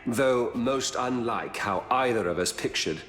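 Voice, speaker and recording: Snide trickster god brother from a game, slow drawl but swoopy pitch, bit of music. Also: male voice, lively intonation, a bit bright. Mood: wry. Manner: drawling, disdainful, measured. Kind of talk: video game villain voice lines. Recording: music behind.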